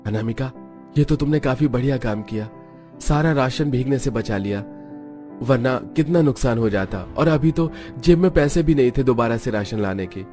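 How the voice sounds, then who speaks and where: A man's voice: mid-range voice, normal speed, warm tone, faint music behind, natural voice. A man voicing a mild working-class village husband in a cartoon story. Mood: relieved.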